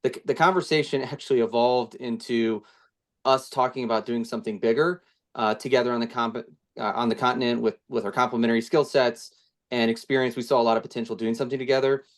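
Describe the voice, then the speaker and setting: A man's voice: medium pitch, steady tone, quick pace. Casual businessman with stumbling restarts. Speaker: co-founder of a housing firm. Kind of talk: interview answer.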